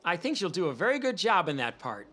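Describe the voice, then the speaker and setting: A woman's voice: lowish voice, sing-song, fast talker, fairly bright tone. A woman voicing a mild, modest young male teacher in an anime dub. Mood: easygoing. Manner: modest, easygoing.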